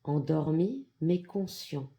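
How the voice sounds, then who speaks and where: Slow, very mellow yoga nidra guide, gender-neutral voice. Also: mid-range voice, creaky. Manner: calm, soothing, measured with long pauses. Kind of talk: guided meditation, soft instructional narration.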